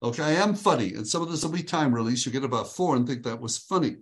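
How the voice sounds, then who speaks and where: A man's voice: mid-range voice, lively intonation. Upbeat joking man coaching a video call. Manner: upbeat, joking, slows down to dictate phrases. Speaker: workshop leader. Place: his home.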